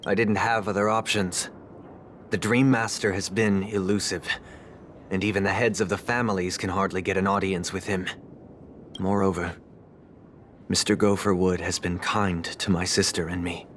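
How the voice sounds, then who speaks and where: An actor playing a composed, dignified young family leader in a video game, with a measured, solemn and formal delivery. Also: male voice, deep voice, flat delivery, fairly bright tone, slight background noise. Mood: grave. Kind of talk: dramatic video game voice acting.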